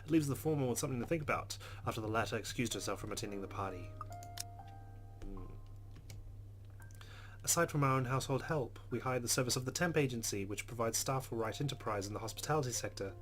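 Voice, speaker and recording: Guy doing a visual novel lets play, reads narration out loud, normal pitch, measured but expressive, guitar music clearly behind. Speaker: let's play host. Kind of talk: reading visual novel narration aloud with casual asides. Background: game music with plucked guitar.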